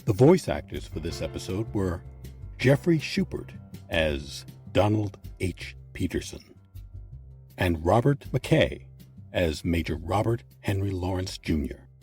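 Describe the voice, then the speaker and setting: A man hosting and narrating a scripted history piece with measured, emphatic phrasing. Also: deep voice, very animated, a little mellow. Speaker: host and narrator. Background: background music.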